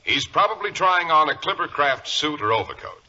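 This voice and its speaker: A man's voice: on the high side, sing-song, normal speed, pushed and bright, slight background noise. An American man announcing in 1940s radio style, reading scripted copy with a polished, emphatic sales delivery. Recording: dull, narrow old radio recording.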